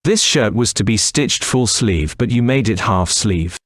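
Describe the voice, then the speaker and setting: A man's voice: on the low side, animated, a little slow, dry recording, studio quality. A man playing a stern, impatient factory manager who scolds firmly in a cartoon moral story.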